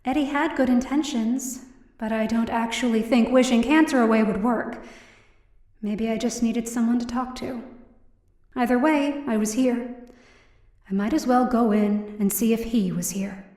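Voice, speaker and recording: Woman playing a high-voiced, weary daughter, roomy sound. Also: moderate pace, a little mellow, natural voice. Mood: reflective, sad. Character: weary, worried daughter narrating her own story. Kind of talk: first-person scripted narration in audio drama. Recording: roomy, distant mic, faint music behind.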